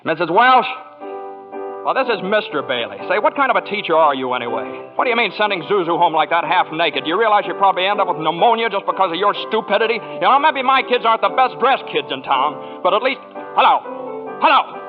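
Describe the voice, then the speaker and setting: A frazzled small-town American family man, high for a man and swinging widely in pitch, stammers and repeats himself with passion. The old radio drama recording sounds dull and reverberant. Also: lively intonation, fast talker, over music. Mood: furious. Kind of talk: 1940s radio drama acting.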